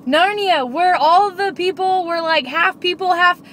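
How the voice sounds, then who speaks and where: A woman's voice: extremely high pitch, a little slow, fairly bright tone. A young woman making up a playful bedtime story in a silly, giggly manner, sitting in a car. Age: young adult. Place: inside a parked car. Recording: noisy car interior, vehicle ambience.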